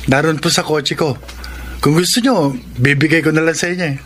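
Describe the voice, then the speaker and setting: An actor playing a polite, ingratiating young Filipino suitor in a radio drama. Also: male voice, a little high, fairly even intonation, brisk, fairly bright tone, a little hiss or hum, natural voice. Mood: deferential, eager.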